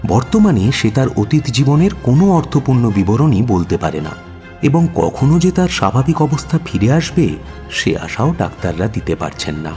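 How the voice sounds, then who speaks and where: An earnest detective's companion, narrating a radio mystery. His lowish voice swings animatedly through each emphatic phrase, with music playing underneath. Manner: expressive, emphatic reading. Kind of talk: dramatized audio story narration.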